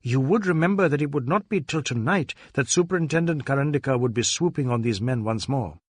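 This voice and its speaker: A man's voice: mid-range voice, expressive, a little fast, no room sound, studio quality, lightly acted. Man voicing a deferential, earnest police inspector. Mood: urgent, polite. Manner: polite, formal, earnest. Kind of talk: audiobook character voice.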